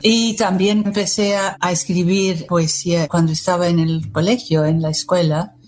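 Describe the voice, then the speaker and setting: A woman who grew up in the United States, speaking Spanish with an American English accent as a second language, answering interview questions in a measured, thoughtful way with pauses between phrases. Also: lowish voice, fairly even intonation, darkish tone. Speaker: poet, interviewee.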